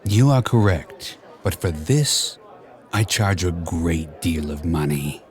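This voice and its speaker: A man voicing a suave swashbuckling male cat swordsman with a Spanish accent, speaking low, slow and hissing. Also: darkish tone, some chatter behind. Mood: sly.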